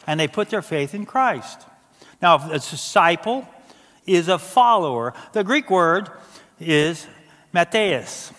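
A man in his sixties preaching as a pastor, conversational yet emphatic, with deliberate pauses. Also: fairly high pitch, big pitch swings, a bit bright. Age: 60s. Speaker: executive pastor. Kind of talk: sermon. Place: stage of a large church auditorium. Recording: hall reverb from a large auditorium.

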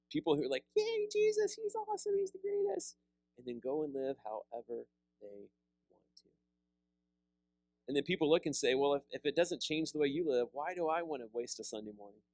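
A pastor in his thirties preaching a sermon, seated with a handheld mic, animated and conversational, often repeating words as he restarts. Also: on the high side, no echo, rough recording. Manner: animated preaching with big hand gestures, rising loud on emphasis. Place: church fellowship hall, seated on a stool.

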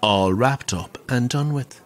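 An actor playing a camp, wry, middle-aged Irish pantomime dame who relishes telling grisly ghost stories in an audio drama. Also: male voice, medium pitch, a little slow, natural voice. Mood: ominous, relishing. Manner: theatrical, wry, relishing the tale.

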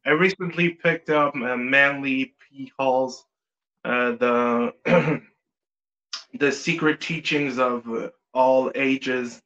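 A man with an Israeli accent, a native Hebrew speaker, chatting as a podcast guest in a halting way with many fillers. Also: mid-range voice, fairly bright tone, dull audio. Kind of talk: casual interview chat.

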